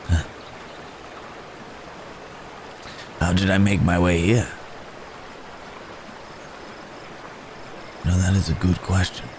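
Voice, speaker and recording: A man playing a gentle, troubled wounded man cursed as a werebeast in an ASMR roleplay, speaking haltingly in formal, old-fashioned phrases with sighs. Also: low-pitched, noisy background, natural voice. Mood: puzzled.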